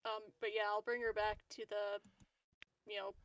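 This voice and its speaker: Creaky-voiced woman playing a tabletop game. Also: mid-range voice, flat delivery, moderate pace, fairly bright tone. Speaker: tabletop roleplaying game player. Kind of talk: casual in-game chat. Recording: dry, slightly distant mic.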